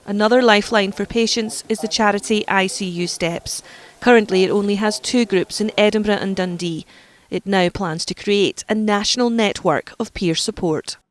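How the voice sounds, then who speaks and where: A woman reporter reading scripted news narration in an even, measured way. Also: medium pitch.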